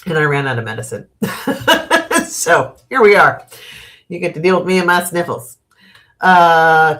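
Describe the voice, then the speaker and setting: Sniffly american lady doing a tarot livestream, talks real slow, lots of ums, chuckles at herself. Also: lowish voice, fairly bright tone. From United States. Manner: slow, rambling, thinking aloud with many fillers and restarts; laughs at her own asides. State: sniffly from allergies. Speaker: tarot reader and channel host. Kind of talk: live-stream tarot reading, casual chat.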